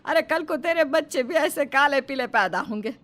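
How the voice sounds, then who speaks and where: A woman's voice: high-pitched, animated, normal speed, fairly bright tone, voice pushed up. A woman voicing a vain, melodramatic old Indian mother-in-law who nags, in a Hindi moral story. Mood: scornful, pleading.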